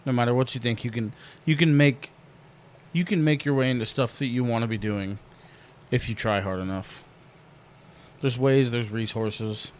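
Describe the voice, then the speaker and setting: Male podcast host, mid-pitched, relaxed and jokey, salty language, faint hiss behind. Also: normal speed. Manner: laid-back, swears freely, jokey. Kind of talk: casual podcast chat.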